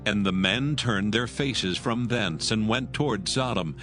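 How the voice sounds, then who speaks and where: A man narrating scripture from a script in a measured, solemn manner. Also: on the low side. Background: soft music.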